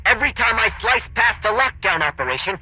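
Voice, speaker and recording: A man's voice: high voice, very animated, brisk, pushed and bright, husky, dry recording, soft background music, exaggerated voice, rough recording. A man playing a worried but dependable rebel technician, speaking urgently under pressure in a video game cutscene. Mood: tense, worried. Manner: tense, urgent.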